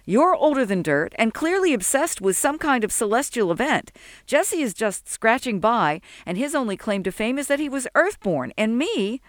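Narrator doing fed up sarcastic guy in an audiobook, stubborn, scornful, voice pitched up high and all over the place. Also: big pitch swings, fairly quick, no echo, clean studio sound, lightly acted. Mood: scornful, frustrated. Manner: indignant, scornful, emphatic. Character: stubborn, exasperated, sarcastic skeptical man.

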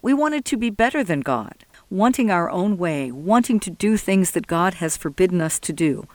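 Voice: medium pitch, big pitch swings, moderate pace, darkish tone, no room sound, lightly acted. A narrator voicing a devout, weary old man who speaks with solemn, earnest emphasis in an audiobook. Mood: firm, preaching. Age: older.

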